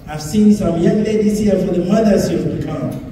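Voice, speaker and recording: A man's voice: sits high, normal speed, dark tone. A middle-aged man giving a motivational speech to a gathering over a PA microphone. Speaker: community speaker and realtor. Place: large hotel banquet hall, indoors. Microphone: handheld mic over PA, recorded from the audience. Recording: echoey hall from far off, faint noise.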